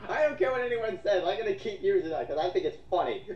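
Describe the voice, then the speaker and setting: A man's voice: high-pitched, expressive, quick pace, a little mellow, a little hiss or hum. A man co-hosting a casual mocking commentary, sarcastic and profane, often exclaiming as he talks. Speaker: co-host reading and mocking online comments. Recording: roomy, distant, slightly dull mic.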